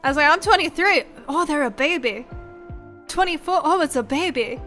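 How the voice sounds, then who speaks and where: A young woman in her twenties with a high, bright voice chats casually on a livestream. She rambles loosely, swears freely and slips into animated quotes. Faint music plays behind her. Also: normal speed. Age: 20s. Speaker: streamer, VTuber host. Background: background music.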